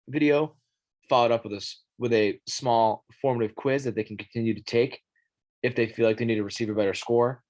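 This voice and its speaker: A young man, a student teacher, presenting an app for the classroom in a halting way, his voice scratchy as he clears his throat and coughs. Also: mid-range voice. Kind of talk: informal classroom tech presentation.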